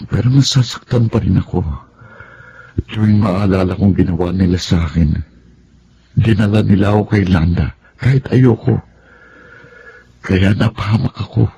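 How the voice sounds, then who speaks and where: An actor playing a hurt, resentful grown son and older brother in a Tagalog radio drama. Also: male voice, fairly low pitch, normal speed, creaky, pauses often, mellow, lo-fi sound, slight background noise. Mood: troubled.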